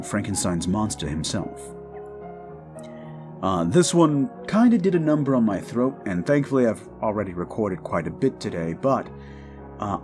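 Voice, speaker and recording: A man talking casually and reflectively to his listeners, earnest and thoughtful, rambling a little as he goes. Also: fairly low pitch. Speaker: audio drama voice performer and writer. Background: music.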